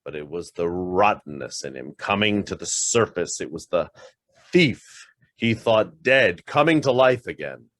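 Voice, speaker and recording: Male actor as anguished thief on the run in a radio play: low-pitched, self-lacerating, emphatic, very close dry sound. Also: expressive, fairly bright tone, dry recording. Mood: bitter confession. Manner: dramatic, emphatic, halting confessional delivery. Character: guilt-ridden embezzler on the run, fervent, self-lacerating. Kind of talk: stage-style radio drama acting.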